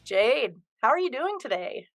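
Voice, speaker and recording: A woman's voice: high-pitched, sing-song, measured pace, a bit bright, soft background music. A woman hosting a podcast interview, upbeat and enthusiastic. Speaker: podcast host.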